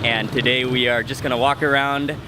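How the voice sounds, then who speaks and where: A man casually narrating a travel vlog while walking outdoors, relaxed and chatty with fillers. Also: mid-range voice, brisk. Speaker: travel vlogger. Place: outdoors on city streets. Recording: music bed and traffic behind.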